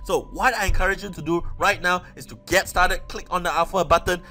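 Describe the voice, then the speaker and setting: A man with a Malaysian accent delivers a webinar sales pitch as an online coach. His voice is fairly high and forceful, with faint music behind it. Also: steady tone, brisk.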